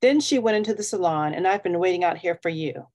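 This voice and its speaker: A woman's voice: on the low side, lively intonation, fast talker, darkish tone, close to an everyday voice. A woman voicing a whiny, sulky and dramatic young girl in a children's storybook.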